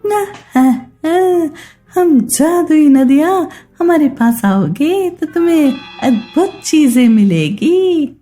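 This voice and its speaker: A magical river spirit calls out in a very high, swooping voice, performed by a woman. The delivery is drawn-out and beckoning, made for a children's cartoon moral tale. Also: expressive, mellow, soft background music. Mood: alluring. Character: inviting magical river spirit promising priceless knowledge.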